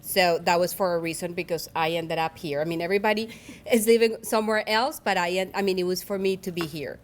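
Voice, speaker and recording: A woman's voice: fairly low pitch, steady tone, a little fast. A woman in her forties with a Colombian Spanish accent, giving a personal keynote speech at a luncheon in an earnest, reflective way, often restarting her phrases. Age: 40s. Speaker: keynote speaker.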